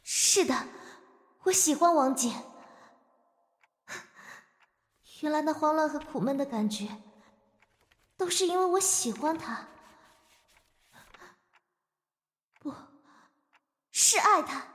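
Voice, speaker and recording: A woman playing a timid, lovestruck young woman in a Chinese audio drama, earnest, anguished and halting. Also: high-pitched, expressive, fairly bright tone, no echo. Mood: anguished realization. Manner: hesitant, halting, emotional. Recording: music behind the voice.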